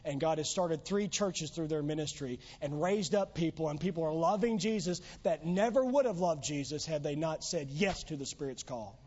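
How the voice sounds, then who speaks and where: Preacher, male, voice going hoarse, pitched high, earnest emphatic delivery, muffled lo-fi church recording. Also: normal speed, few pauses, darkish tone. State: losing his voice. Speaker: pastor. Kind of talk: sermon. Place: church sanctuary.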